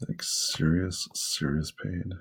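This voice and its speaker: A man with a low, gravelly voice talks in a relaxed, rambling way, full of fillers. His tone is soothing and he jokes about his own skill. It feels like easygoing chat to viewers over a drawing session. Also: slow pace. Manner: rambling, relaxed, self-deprecating, many fillers. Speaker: hobby artist vlogger. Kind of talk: casual talk while drawing.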